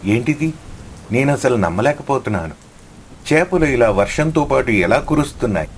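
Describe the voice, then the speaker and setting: A man's voice: medium pitch, animated, normal speed, a little hiss or hum, natural voice. A man playing a haughty, bullying village fisherman in scripted story dialogue. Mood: astonished.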